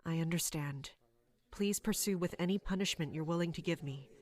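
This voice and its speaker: A woman's voice: on the low side, brisk, a little mellow, lightly acted. A woman playing a dutiful, self-sacrificing military captain, contrite and formal, in an audio drama. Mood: contrite, pleading.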